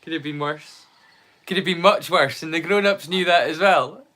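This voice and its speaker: Watch-along host: middle-aged man from the UK, fairly high, bright voice, faint music behind. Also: moderate pace. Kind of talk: casual live commentary.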